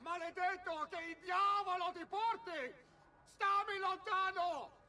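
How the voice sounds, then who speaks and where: A man's voice: very high-pitched, a little slow, bright, forceful tone, slight background noise, full character voice. An actor playing a hot-blooded, arrogant Florentine nobleman in a video game, scornful and theatrical, with an Italian accent. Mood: panicked fury. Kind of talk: video game cutscene acting. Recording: long hall reverb.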